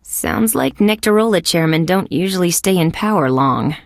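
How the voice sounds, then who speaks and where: A woman's voice: on the low side, expressive, moderate pace, dry recording, studio quality. A woman voicing a tough, wisecracking female insect police detective in a video game, with a wry, sardonic delivery. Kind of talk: scripted game dialogue, detective banter.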